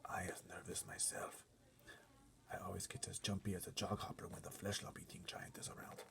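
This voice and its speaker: A man voicing a gentle, nervous giant who speaks in a hushed way with folksy, muddled grammar. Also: low-pitched, flat delivery, a little fast, vocal fry, in a whisper. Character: gentle, nervous friendly old-fashioned giant.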